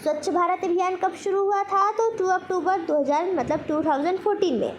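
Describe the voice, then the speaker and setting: A woman's voice: extremely high pitch, fairly quick, muffled recording, a little hiss or hum. A woman teacher giving a general knowledge lesson, posing questions and then answering them herself in an instructional way. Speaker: quiz teacher.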